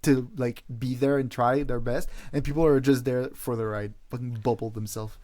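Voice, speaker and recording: A man's voice: mid-range voice, steady tone, moderate pace, warm tone, dry recording. A young man co-hosting a podcast, chatting casually with slang, swearing and emphatic exaggeration. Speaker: podcast co-host.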